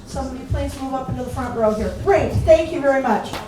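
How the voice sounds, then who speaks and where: A woman's voice: high-pitched, fast, music in the background. An American woman from Illinois hosting a live event, welcoming and introducing the show to an audience. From Illinois, United States. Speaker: host. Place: echoey event hall with seated audience. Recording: echoey hall, distant mic, faint noise.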